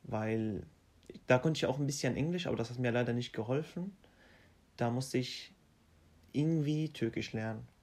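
Young man, mid-pitched, halting interviewee who restarts his sentences. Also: a little mellow. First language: Arabic. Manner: halting, restarts often, simple phrasing. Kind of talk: casual interview.

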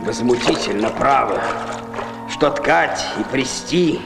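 An actor playing an indignant, skeptical ancient Greek official, declaiming verse with incredulity at the women. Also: male voice, mid-range voice, animated, slow, creaky. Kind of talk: theatrical verse drama acting. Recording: echoey hall with music behind.

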